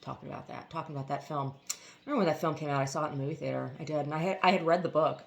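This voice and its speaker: A woman reading a novel aloud, pausing between chapters for relaxed, chatty comments. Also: deep voice, creaky. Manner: expressive reading, laughs at funny passages. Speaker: audiobook reader and channel host.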